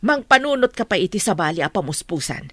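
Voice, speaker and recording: A woman's voice: on the low side, big pitch swings, fast. A woman playing a scheming, prodding mother with a Filipino accent in a radio drama. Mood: prying, scheming. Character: scheming, prodding mother of a young office worker.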